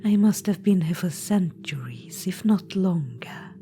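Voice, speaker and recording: Woman playing an undead witch-queen spirit, soft whispers, condescending, unhurried, mellow low voice. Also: expressive, soft background music. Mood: whispered, scheming. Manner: purring, patronizing, calls listener darling. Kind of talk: ASMR audio roleplay.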